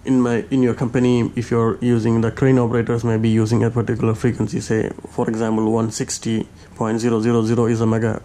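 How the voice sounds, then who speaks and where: A man's voice: mid-range voice, mellow. A man presenting a step-by-step hands-on tutorial, often searching for his words as he explains.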